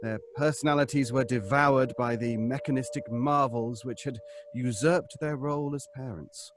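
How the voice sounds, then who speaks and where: A man playing a measured doctor in an audio drama. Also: medium pitch, fairly even intonation, background noise, close to an everyday voice. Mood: clinical. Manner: measured, clinical. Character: Doctor McLean, measured psychologist.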